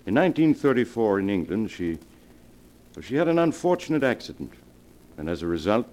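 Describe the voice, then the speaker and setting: An actor playing a grave family doctor in 1940s radio melodrama, solemn, measured and authoritative. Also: male voice, on the low side, big pitch swings, darkish tone. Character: grave, controlled family doctor breaking bad news. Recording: vintage 1940s radio broadcast.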